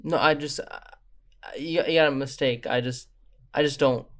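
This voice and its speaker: A man as a player in a tabletop game, casually roleplaying in a hesitant, halting way. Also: medium pitch, steady tone.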